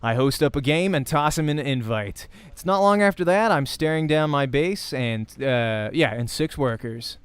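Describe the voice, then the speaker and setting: A man's voice: a little high, moderate pace, hardly any pauses, smooth. Man narrating a visual novel, stumbling slightly. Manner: stumbles over words and corrects himself. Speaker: let's play host and voice actor reading a visual novel. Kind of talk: dramatic read-aloud narration of a visual novel.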